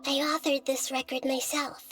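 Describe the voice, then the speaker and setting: Smart calm scholarly anime game girl, high voice, careful measured delivery, soft music behind. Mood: calm, explanatory. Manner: measured, precise, deliberate explaining. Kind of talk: anime video game voice acting.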